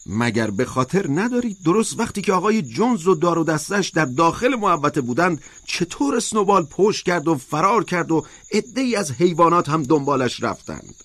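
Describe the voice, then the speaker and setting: A man's voice: fairly high pitch, a bit bright, pitched above the performer's own voice. A man voicing a sly, fervent propagandist pig in a storybook, speaking in an emphatic, insistent, oratorical way. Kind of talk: audiobook character voice.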